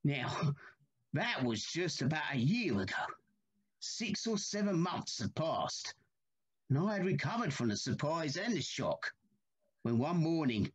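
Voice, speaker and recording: A man's voice: on the high side, husky, heavily acted, rough recording. An actor playing a haunted, troubled railway signalman in a period audio drama, telling his tale with grave urgency. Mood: haunted, intense.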